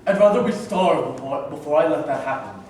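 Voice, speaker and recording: A man's voice: on the high side, expressive, fairly quick, darkish tone, a little hiss or hum, lightly acted. A man reading a proud caveman king, echoing from afar. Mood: solemn. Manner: stiff, solemn pronouncements. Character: proud stubborn caveman king, self-righteous and menacing. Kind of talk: staged play reading. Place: theater stage. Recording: echoey room, mic far off.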